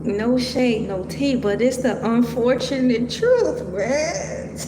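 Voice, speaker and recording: A woman's voice: on the high side, expressive, slow pace. A woman from Michigan with a slight Southern accent, casually reading about a cartoon and making playful, rambling asides. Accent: Southern US, Georgia-tinged. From Michigan, USA. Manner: rambling, playful, stops to comment on names. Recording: echoey room with long reverb and noise.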